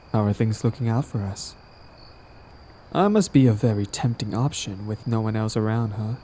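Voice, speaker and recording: A man's voice: on the low side, warm tone, slight background noise, natural voice. A man playing a smug, possessive young villain in a romantic audio drama, talking in a casual, teasing, cajoling way. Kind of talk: roleplay audio drama, conversational acting.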